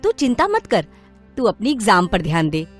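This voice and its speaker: A woman playing a middle-aged, worried mother in a moral story, with an expressive, fretting manner. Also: mid-range voice, normal speed, faint music behind. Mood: reassuring. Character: fretful middle-aged mother, worried about her son.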